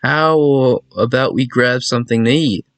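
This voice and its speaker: A man's voice: mid-range voice, measured pace, no room sound, lightly acted. Man reading as an eager, nervous game protagonist. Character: eager, nervous visual novel protagonist, anxious to please. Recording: music behind a dry voice.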